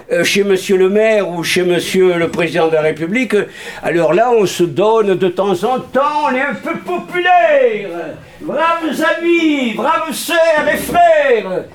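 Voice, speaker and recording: A man with a Southern French accent from Montpellier, talking about theatre to a group in a lively, theatrical way with booming bursts. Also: roomy sound. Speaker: theatre teacher.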